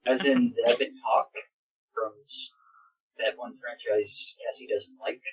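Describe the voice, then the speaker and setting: A man's voice: mid-range voice, level tone, a little slow, noisy background. A man chatting and riffing casually as a reader on a group read-along show.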